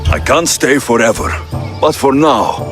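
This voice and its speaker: Guy doing a calm sure-of-himself ancient greek merc for a game cutscene, direct, lively pitch swings, music behind. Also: measured pace, close to an everyday voice. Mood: calm, reassuring. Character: Alexios, confident Greek mercenary man, calm and compassionate. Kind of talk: video game cutscene dialogue.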